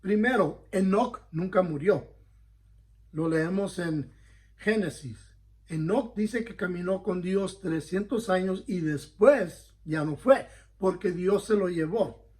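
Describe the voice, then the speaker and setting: A man with a high-pitched voice teaches a Bible study as a pastor. He speaks earnestly and conversationally, often pausing to check that his listeners follow. He corrects himself mid-phrase as he goes. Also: darkish tone. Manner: frequent self-corrections.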